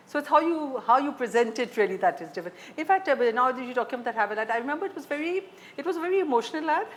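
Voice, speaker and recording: A woman's voice: high-pitched, lively intonation, very fast. A woman, an interviewer putting questions in a conversational way. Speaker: interviewer, journalist. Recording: faint background noise.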